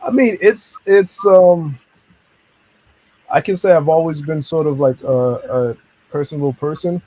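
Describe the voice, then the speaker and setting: A man speaking as a podcast guest, his voice mellow and soft-edged. He chats in a friendly, personable way and laughs easily while talking about his work. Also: a little high, unhurried, rough recording. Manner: friendly, easygoing, laughs often. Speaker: podcast guest, comic creator. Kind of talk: casual podcast chat.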